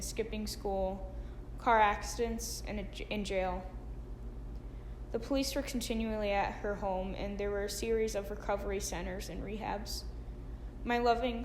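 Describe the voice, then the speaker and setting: Female narrator, scripted memorial read, mid-range voice, echoey recording with some background noise. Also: mid-range voice. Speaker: narrator reading a memorial tribute. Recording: roomy echo with clear background noise.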